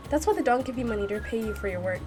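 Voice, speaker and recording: A woman's voice: fairly high pitch, animated, very fast, natural voice. A woman voicing a stern, dutiful male bodyguard in a visual novel, matter-of-fact and restrained, with music behind. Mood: serious, explaining.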